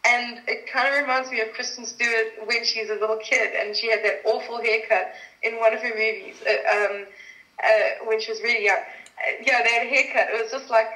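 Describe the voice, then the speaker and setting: A woman's voice: a little high, steady tone, normal speed, pushed and bright. A woman from South Africa, a guest actress telling stories in a casual interview, chatty and laughing often. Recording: echoey room.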